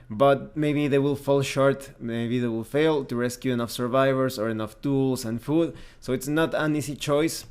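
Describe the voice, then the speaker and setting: A man's voice: mid-range voice, darkish tone, smooth. Methodical male game reviewer. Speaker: reviewer, host of a tabletop game review channel. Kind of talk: semi-scripted review narration, explanatory.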